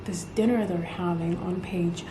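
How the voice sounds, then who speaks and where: A young woman whose first language is Arabic, chatting casually and excitedly about books she is reading for her vlog, often tagging "okay?" and "like" onto her sentences. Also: fairly low pitch, normal speed. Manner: gushing, excitable, repeats "okay?" and "like". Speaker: book vlogger. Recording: echoey room with noticeable background noise.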